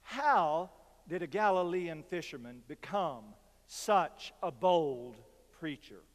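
An older man, a pastor, preaching a sermon from a pulpit with slow, measured delivery and dramatic pauses. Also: fairly high pitch, lively intonation. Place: large church sanctuary, at a pulpit. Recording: long reverb from church hall.

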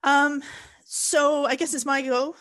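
A woman's voice: high voice, steady tone, slow, fairly bright tone. A woman with a Canadian accent co-hosting a podcast, talking in long, thoughtful explanations with some ums. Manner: thoughtful, talks in long explanatory sentences with fillers. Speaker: podcast co-host. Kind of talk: casual podcast chat.

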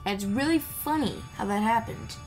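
A woman's voice: on the high side, normal speed, darkish tone. A woman giving casual gameplay commentary in a rambling, playful way, chatting to the game and its characters. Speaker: gaming video creator. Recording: game music behind the voice. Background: video game music.

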